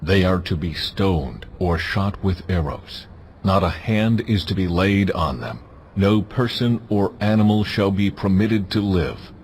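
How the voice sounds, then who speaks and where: God, deep and stern. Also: male voice, slight background noise. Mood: stern warning. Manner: solemn, authoritative, deliberate phrasing. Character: God, stern, majestic male deity issuing commands. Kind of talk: dramatized scripture acting. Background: music.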